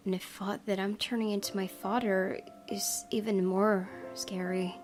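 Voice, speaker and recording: A woman reading a visual novel aloud as a let's play host, in measured, earnest dramatic narration. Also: medium pitch, gravelly.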